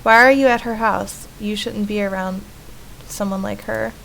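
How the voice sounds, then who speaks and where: A woman's voice: mid-range voice, steady tone, normal speed, creaky, a bit bright, rough recording. A woman voicing an earnest, pleading and distrustful young girl in a horror visual novel. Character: pleading, distrustful young girl, little sister trapped in painting. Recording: faint background noise.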